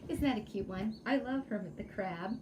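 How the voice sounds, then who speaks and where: A woman's voice: medium pitch, moderate pace, background noise. A woman storytime reader reading aloud expressively to children, with chatty asides and questions to her listeners.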